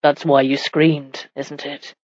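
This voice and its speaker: A medieval warrior husband from a romance audiobook as a female narrator puts him on. The voice is low, firm and measured, with exasperated authority and a protective streak. The sound is very dry and a bit muffled. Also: level tone, a little mellow, dry recording. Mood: commanding.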